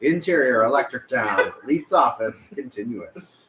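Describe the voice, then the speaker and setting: A man's voice: medium pitch, animated, unhurried, fairly bright tone. A man reading stage directions as scripted narration at a film table read. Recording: roomy, echoey with room noise.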